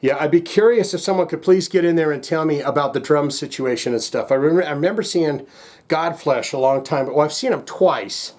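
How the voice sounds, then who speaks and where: A man's voice: fairly high pitch, brisk, a little mellow. A man hosting a music reaction video, chatting casually to viewers in a rambling, jokey way and often interrupting himself.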